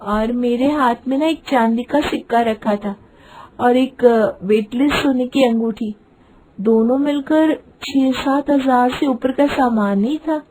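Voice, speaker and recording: A woman's voice: fairly high pitch, slow pace, darkish tone, slight background noise, voice pushed up, poor audio. A woman voicing a practical young daughter-in-law, polite and hesitant yet frank, in a cartoon story. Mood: hesitant objection. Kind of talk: acted cartoon story dialogue.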